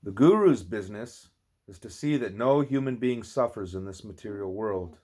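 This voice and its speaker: A man's voice: fairly low pitch, expressive, normal speed, darkish tone. Man reading a spiritual text aloud. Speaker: audiobook reader.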